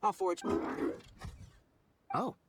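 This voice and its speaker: A man playing a knowledgeable young forest ranger in a video game, articulate, brisk and wry as he explains things. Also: very noisy. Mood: amused, explanatory. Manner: articulate, explanatory, matter-of-fact. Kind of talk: video game character acting.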